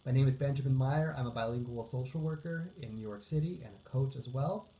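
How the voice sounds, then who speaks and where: A man's voice: medium pitch, a little fast. A man giving an earnest, explanatory informational talk as a counselor and coach, stressing key phrases as he goes. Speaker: psychotherapist, social worker and coach. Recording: echoey room, slightly dull sound.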